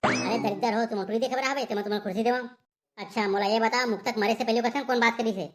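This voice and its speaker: Woman playing a fast, high-pitched Chhattisgarhi police inspector. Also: fairly even intonation, lightly acted. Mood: brusque. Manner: scolding, curt questioning. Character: blustering, bullying village police inspector. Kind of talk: Chhattisgarhi comedy cartoon voice acting.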